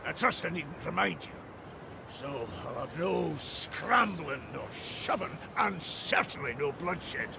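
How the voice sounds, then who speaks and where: An actor voicing a gruff, blunt old dwarf elder in a fantasy video game, with a Scottish accent and gravelly voice. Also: male voice, on the high side, expressive, slow pace, background noise, full character voice. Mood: stern, uneasy. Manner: blunt, folksy, bossy. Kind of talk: dramatic video game voice acting.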